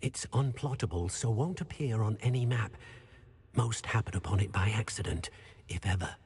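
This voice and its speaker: A man's voice: fairly low pitch, normal speed, a little mellow, slightly rough. An actor playing a polite, eager male house elf in a video game, speaking deferentially of himself in the third person. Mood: earnest, explaining. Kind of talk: video game character acting. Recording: long hall reverb, faint background noise.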